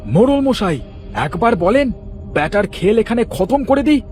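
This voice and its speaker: A man's voice: extremely high pitch, very animated, moderate pace, darkish tone, soft background music. A man playing a hot-headed henchman, eager for violence, in a children's cartoon story. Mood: eager, aggressive.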